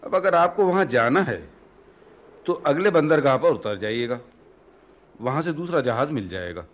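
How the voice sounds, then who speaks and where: An actor playing a helpful, inquisitive fellow traveller on a ship in a radio drama, conversational and slightly hesitant. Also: male voice, a little high, animated, normal speed, dull audio, natural voice. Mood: curious, helpful. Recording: slightly noisy radio play recording.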